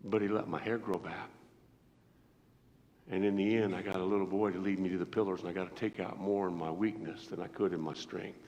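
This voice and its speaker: A preacher voicing a rueful, humbled Samson. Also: male voice, sits low, steady tone, brisk, a little mellow, voice pushed down. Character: tiny humbled man, Samson reflecting on his fall. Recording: reverberant, dull live church recording.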